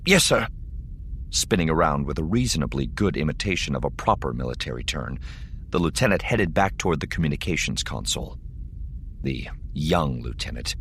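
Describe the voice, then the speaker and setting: A man narrates an audiobook in a deep voice. His delivery is dramatic and scripted, with faint noise behind him.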